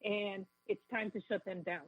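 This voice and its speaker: A woman with a cold and a raspy voice, calling in as a radio guest and speaking fervently and quickly. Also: mid-range voice, fairly even intonation, dry recording. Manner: fervent, rapid, repeats herself. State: has a cold. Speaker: caller guest. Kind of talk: phone-in talk radio interview. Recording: tinny phone line.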